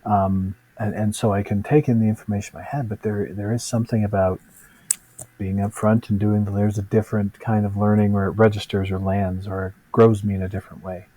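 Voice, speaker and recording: A man's voice: fairly low pitch, level tone, fairly quick, mellow, low-quality recording. A man hosting a podcast, asking questions conversationally and affirming his guest thoughtfully. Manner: thoughtful, affirming, frequent "mm" and "yeah". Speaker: podcast host. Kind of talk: conversational interviewing.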